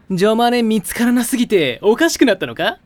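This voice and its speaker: A man's voice: high-pitched, big pitch swings, moderate pace, no echo. An actor playing a blunt teenage boy teammate in an anime audio drama, casual, sarcastic and incredulous. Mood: baffled, exasperated. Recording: music behind the voice.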